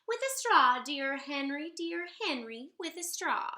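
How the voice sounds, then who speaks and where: Very bright, animated woman reading aloud. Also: high voice, measured pace. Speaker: storyteller reading a picture book aloud. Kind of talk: expressive sing-song children's read-aloud. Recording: roomy, echoey sound.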